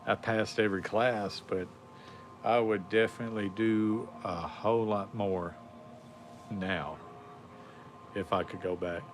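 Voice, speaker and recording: A man's voice: lowish voice, fairly even intonation, measured pace, long pauses, noisy background. A man, chatting casually on a livestream in a rambling, laid-back way with lots of fillers. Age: 40s. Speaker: livestream host. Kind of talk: casual chat.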